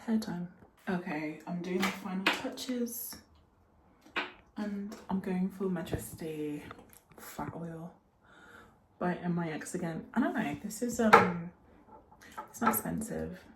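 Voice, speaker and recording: Low-pitched young Brummie woman, noisy recording. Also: slow pace. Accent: Birmingham. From Birmingham, England. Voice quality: slightly nasal. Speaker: vlogger. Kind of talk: casual chat to camera while getting ready. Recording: clearly noisy room background.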